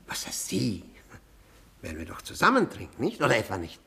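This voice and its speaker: An actor playing a bitter cuckolded widower in a 1960s German radio drama, fawning and self-abasing, then needling with mock courtesy. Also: male voice, lowish voice, huge pitch swings, gravelly, pushed and bright, slightly rough, heavily acted. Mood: wheedling. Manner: mock-courteous, insinuating, with drawn-out words and needling questions.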